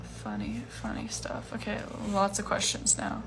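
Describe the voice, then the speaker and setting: A young woman in her twenties answering fan questions in a casual livestream from home, relaxed and chatty with lots of fillers. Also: medium pitch, measured pace, vocal fry, background noise. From Iceland. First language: Chinese. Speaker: musician hosting a livestream Q&A. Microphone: phone livestream.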